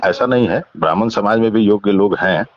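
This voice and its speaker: A man's voice: lowish voice, fairly quick, a little hiss or hum. A man interviewing someone by phone, following attentively with short acknowledgements, summaries and questions. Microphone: phone call. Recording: dull lo-fi phone call recording.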